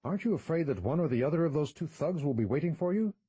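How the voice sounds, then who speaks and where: A man's voice: a little high, animated, fast, mellow, slightly rough, dull audio, voice pushed up. A man voicing a young woman who asks urgent, pressing questions, raising his voice somewhat. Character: alarmed young woman companion.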